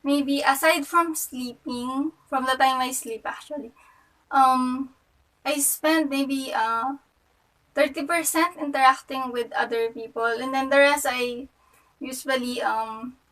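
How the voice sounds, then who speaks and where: A woman's voice: sits high, fairly even intonation, slow pace, a bit bright. A woman aged twenty-two with a Filipino accent, explaining her thoughts in a hesitant, reflective way. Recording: distant microphone.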